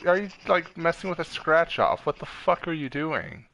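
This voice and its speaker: Gravelly, bright-voiced male game streamer. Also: fairly high pitch, big pitch swings, normal speed, slight background noise, rough recording. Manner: joking, self-deprecating. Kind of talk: casual chat and hammy read-aloud narration of a game.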